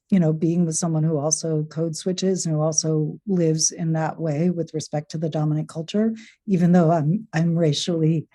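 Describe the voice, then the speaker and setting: A woman, a guest on a relationship podcast, speaking in a calm, reflective way. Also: deep voice, dry recording, studio quality. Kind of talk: reflective conversation.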